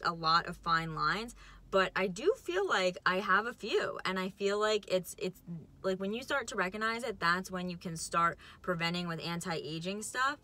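A woman's voice: fairly low pitch, bright, forceful tone. A young woman in her twenties, chatting casually and giving upbeat advice from a parked car. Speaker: co-host. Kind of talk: casual chat. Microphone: phone camera on dashboard.

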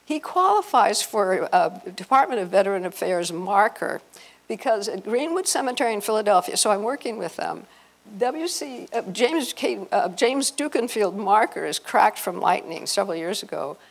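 An older woman presenting an informal family-history slide talk, halting and often restarting her phrases. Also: mid-range voice, big pitch swings, gravelly, slightly rough. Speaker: presenter, speaker at a convention. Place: hotel conference room, before a seated audience.

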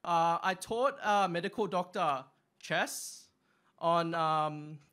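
A young man performing open mic stand-up, with a halting delivery full of ums and uhs, on a small club stage. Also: on the high side, sharp tone. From Australia. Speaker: stand-up comic. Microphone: stand mic, far camera.

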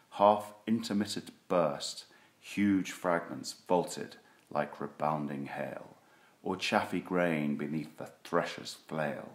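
A man giving a solemn, theatrical recitation of classic poetry, with deliberate phrasing. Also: deep voice. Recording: roomy, echoing sound.

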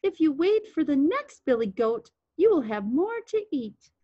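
A woman's voice: high-pitched, big pitch swings, a little slow, no echo, dull audio, voice pushed up. A woman voicing a tiny, timid but clever little male billy goat in a storybook tale, with a small pleading voice. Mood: meek, coaxing.

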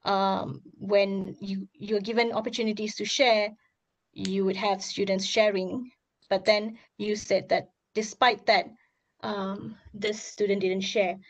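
A hoarse woman on a video call, asking an academic presenter several careful questions with many pauses. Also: medium pitch, level tone. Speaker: audience member asking questions.

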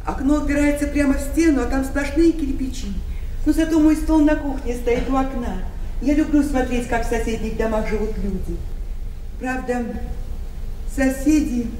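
A woman's voice: high voice, mellow, lightly acted. An actress in a radio play voicing a lonely, anxious, genteel single woman with a tremulous, plaintive manner. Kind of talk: 1990 Russian radio play acting. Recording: roomy, distant radio recording with faint hiss.

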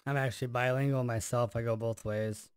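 A man's voice: mid-range voice, steady tone, brisk, clean tone, dry recording. A man co-hosting a live stream, dropping dry one-liners and thanking new members. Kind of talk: casual stream banter.

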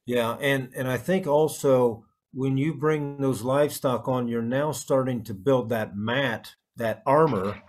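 A man's voice: mid-range voice, a little slow, hardly any pauses, a little mellow. A middle-aged man hosting a casual interview over a video call. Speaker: host, interviewer. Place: plain room, indoors.

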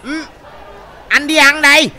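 A man's voice: very high voice, fairly even intonation, a little slow, bright, forceful tone, some chatter behind, exaggerated voice, low-quality recording. A man voicing a playful big-brother pencil in a cartoon, talking eagerly. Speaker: cartoon character. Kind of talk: cartoon voice acting.

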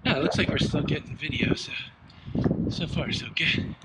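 A middle-aged man talks through a walk in a park, loose and chatty, throwing questions at his viewers and poking fun at himself. His voice sits a little high for a man. The body camera picks up a steady wash of wind and outdoor noise behind him. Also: moderate pace. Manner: chatty, self-deprecating, asks viewers questions. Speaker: walking vlog host. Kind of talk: casual walking vlog.

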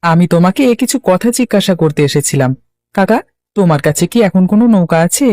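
A man's voice: sits high, expressive, fairly quick, darkish tone, dry recording, studio quality. Earnest young village lad, as a man voices him. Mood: earnest, planning. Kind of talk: Bangla cartoon voice acting.

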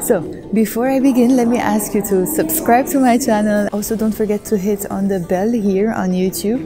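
A woman in her thirties giving a vlog language lesson, talking softly and a little shyly, first in a train station and then on a busy street, into an earphone mic. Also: mid-range voice, fairly even intonation, moderate pace, over music. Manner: soft-spoken and shy, then louder and brighter outdoors. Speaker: language teacher. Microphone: earphone headset mic.

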